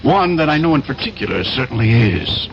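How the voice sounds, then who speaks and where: An actor playing a persuasive, commanding schemer, an importer and war veteran, in a 1970s radio drama. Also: male voice, mid-range voice, very animated, gravelly. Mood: storytelling. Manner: persuasive, self-assured, deliberate phrasing. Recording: dull old radio recording, faint music.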